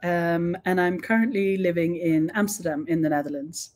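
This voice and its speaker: Young woman guest speaker, low-ish warm voice, reflective and unhurried. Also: fairly even intonation. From born in Sweden, raised in several countries. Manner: thoughtful, with frequent ums and restarts. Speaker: guest expert. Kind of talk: online panel talk.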